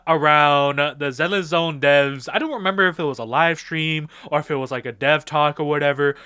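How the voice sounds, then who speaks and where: A young man tells a story in a casual vlog style, full of slang. His voice is bright and clear and swings freely in pitch. The recording is close and dry. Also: normal speed, no echo. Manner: slangy, animated, acts out quoted dialogue. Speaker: video creator recapping fan game ideas.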